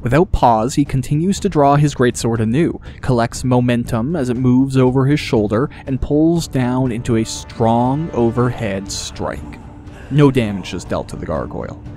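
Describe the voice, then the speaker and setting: A man with a mid-pitched voice reads scripted fantasy narration as an audiobook storyteller. Music plays clearly beneath his dramatic delivery. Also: normal speed, mellow.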